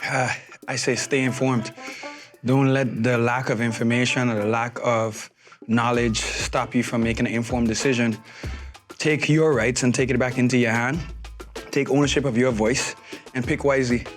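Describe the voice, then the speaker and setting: A male candidate from St. on a hot-sauce interview. He tells animated stories, often says bro, and his voice is roughened from the pepper. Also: medium pitch, moderate pace. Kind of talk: casual interview, campaign pitch. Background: background music.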